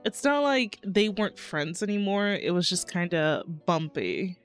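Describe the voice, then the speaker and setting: A woman's voice: fairly low pitch, sing-song, a little slow, clean tone, faint music behind. A woman streamer reacting to a game story with giggly, gushing comments.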